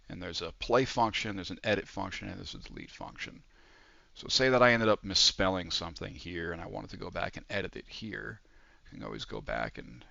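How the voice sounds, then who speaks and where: Male student presenter, low gravelly voice, informal and halting demo delivery, slightly muffled audio. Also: bright, forceful tone. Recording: muffled, echoey room recording.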